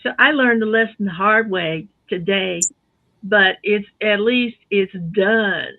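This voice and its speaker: A woman's voice: medium pitch, takes it slowly. A woman explaining computer tips on a talk show in a chatty, step-by-step way, heard over the phone. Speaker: tech segment guest. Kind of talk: casual explanation on talk radio. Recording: tinny phone line.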